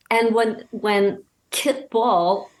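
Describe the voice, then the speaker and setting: A woman's voice: mid-range voice, fairly even intonation, very slow, poor audio. A woman speaking as an interview guest, explaining things casually with hesitant phrasing. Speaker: guest, voice actor and scriptwriter.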